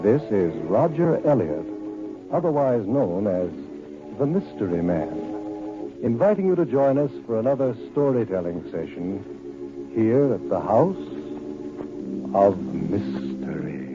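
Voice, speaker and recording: An American actor playing a mysterious storyteller host in 1940s radio style, theatrical and suspenseful, on a vintage recording. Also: male voice, on the low side, sing-song, measured pace. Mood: ominous, inviting. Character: mysterious male storyteller host of spooky tales. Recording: dull vintage radio recording, loud music.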